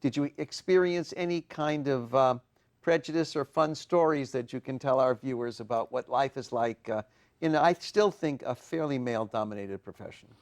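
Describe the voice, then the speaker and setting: A man's voice: medium pitch, moderate pace. An older man hosting a studio talk show, speaking in a chatty way and asking strings of questions. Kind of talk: TV studio interview. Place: TV studio set.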